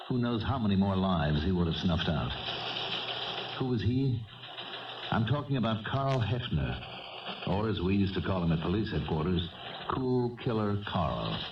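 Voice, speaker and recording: An actor playing a hard-boiled police lieutenant, recounting a case matter-of-factly in a radio drama. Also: male voice, deep voice, animated, moderate pace, long pauses. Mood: grimly recounting. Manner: matter-of-fact, storytelling. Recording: dull, noisy, distant old radio recording.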